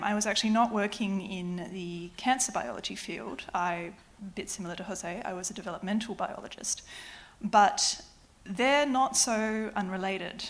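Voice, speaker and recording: An Australian woman with a faint British tinge in her speech explains biology to a public audience. Her delivery is clear and patient, with the odd wry aside, in a mid-range voice. She speaks into a microphone in a lecture hall. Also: mid-range voice. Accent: Australian with slight British influence. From Adelaide, Australia. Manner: explanatory, with light self-deprecating asides. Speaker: university researcher giving a public lecture. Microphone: microphone at a lectern or on her.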